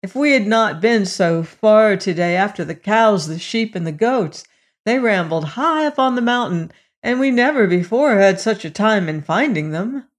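A woman's voice: mid-range voice, expressive, moderate pace, natural voice. A woman voicing a candid, helpful village boy who speaks openly in a storybook tale. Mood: cheerful. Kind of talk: storybook character voice.

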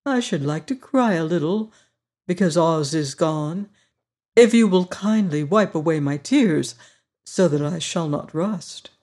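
A reader voicing a tender-hearted, grateful tin man in a slow, earnest way. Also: medium pitch, expressive, darkish tone.

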